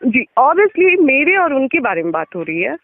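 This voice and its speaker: A woman with a very high voice talks over a narrow, tinny phone line. She explains her side insistently in a candid, personal conversation, often checking that she is being followed. The sound is dry, with no room around it. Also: very animated, moderate pace, no echo. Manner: insistent, repeatedly checking with "okay?". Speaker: interviewee on a phone call. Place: telephone call.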